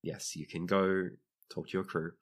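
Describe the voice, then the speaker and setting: A man voicing a gentle, formal and deferential hospital attendant in a tabletop game. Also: deep voice, little pitch movement, moderate pace. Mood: polite, gentle. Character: courteous, gentle hospital attendant of a tall people.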